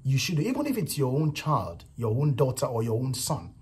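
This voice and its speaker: Husky Nigerian man giving opinions. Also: medium pitch, wildly swooping pitch, moderate pace, a little mellow. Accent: Nigerian English. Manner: repetitive, emphatic, frequent "you know" and "right". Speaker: vlogger commenting on a viral clip. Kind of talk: casual opinion commentary.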